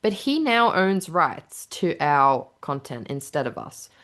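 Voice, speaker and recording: Giggly woman co-host with lively pitch. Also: slow. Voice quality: low voice. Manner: giggly, candid, swears casually. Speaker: podcast co-host. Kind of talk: casual chat.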